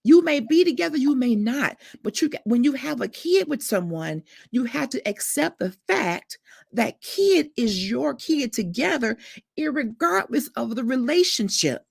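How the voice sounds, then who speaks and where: A woman's voice: sits high, very animated, a little slow, creaky, darkish tone, breathy edge, no echo, clean studio sound. A woman hosting a panel discussion, speaking in an earnest, emphatic and colloquial way. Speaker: host. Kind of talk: panel discussion, talk show.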